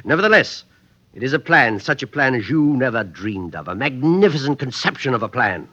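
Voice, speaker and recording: A muffled old radio drama recording carries a self-satisfied middle-aged French factory owner. His voice is forceful and swings widely in pitch as he exclaims grandly, a gullible man who is quick to dismiss others. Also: very animated. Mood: excited, boastful. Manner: theatrical, expansive, exclaiming. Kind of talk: 1950s radio drama acting.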